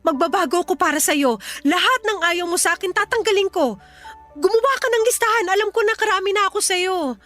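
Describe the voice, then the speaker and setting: High-voiced, clingy, pleading Filipina ex. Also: female voice, fairly quick, fairly bright tone, faint music behind. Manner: insistent, emotional. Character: clingy, pleading ex-partner and mother, desperate to reconcile. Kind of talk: Filipino radio drama acting.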